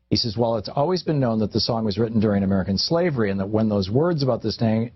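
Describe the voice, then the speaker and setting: Husky man on a tinny, phone-like recording. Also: on the low side. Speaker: radio talk show host. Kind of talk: radio commentary, reading aloud from a newspaper column. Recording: tinny phone-like radio audio, roomy echo.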